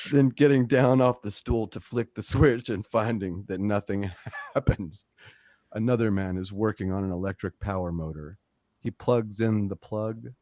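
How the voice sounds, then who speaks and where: A man's voice: on the low side, darkish tone, no echo. Dry, close-miked male narrator. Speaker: narrator reading stage directions. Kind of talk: scripted radio play narration. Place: at home, close dry microphone.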